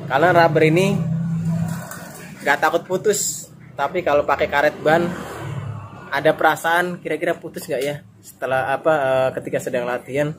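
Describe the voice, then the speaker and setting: A man's voice: on the high side, fairly even intonation, moderate pace, pauses often, noisy background. A man gives a casual exercise tutorial to camera, often adding little check-in tags for viewers. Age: 20s. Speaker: fitness YouTuber. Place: outdoors in a narrow walled residential alley. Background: music.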